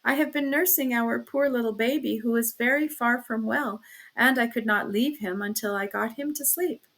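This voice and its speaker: Woman acting an earnest, reproachful, high-voiced wife. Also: normal speed, a bit bright. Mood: defensive. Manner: pleading, emphatic. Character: earnest, anguished young wife and mother, reproachful, firm.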